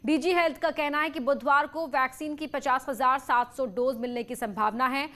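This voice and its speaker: High-pitched woman reading the news. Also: fairly even intonation, moderate pace, bright, forceful tone. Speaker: news presenter. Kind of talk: scripted news narration.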